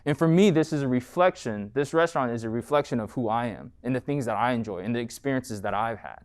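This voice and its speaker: The smooth-voiced American guy at the front of a college classroom, chatting students through how he runs his business. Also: mid-range voice, fast talker. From United States. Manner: conversational, peppered with "like" and "right?". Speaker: entrepreneur giving a guest lecture. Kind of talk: informal talk and Q&A.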